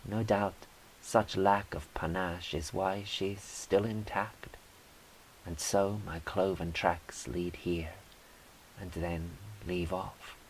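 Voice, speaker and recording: A man reading poetry in a measured, deliberate, wry way, as a poet performing his own work. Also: deep voice, fairly even intonation, low-quality recording. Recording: faint background hiss.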